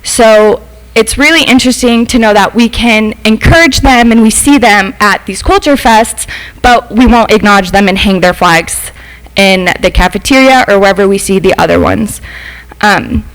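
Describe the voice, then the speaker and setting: Young woman with a medium-pitched voice. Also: normal speed, poor audio. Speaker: former student and substitute teacher speaking in public comment. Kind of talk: semi-prepared public comment speech. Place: school board meeting room.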